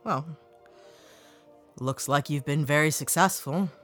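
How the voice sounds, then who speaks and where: A woman's voice: low-pitched, slow, a bit bright, faint music behind. A woman playing a probing young investigator. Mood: reflective. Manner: earnest, questioning. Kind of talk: audio drama acting.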